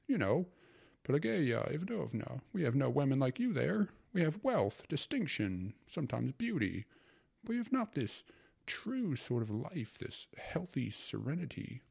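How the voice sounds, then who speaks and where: A man reading aloud puts on a sentimental aging gentleman, fussy and pleading. The voice climbs far above the reader's own low tone, light and wistful. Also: big pitch swings, a little slow, creaky, slightly rough, exaggerated voice. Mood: coaxing. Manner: pleading, wistful, higher than the narration.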